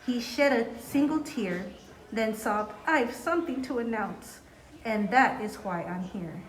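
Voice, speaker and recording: A woman's voice: a little high, measured pace. A woman reading a children's story aloud in an echoey room. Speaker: school staff member reading aloud.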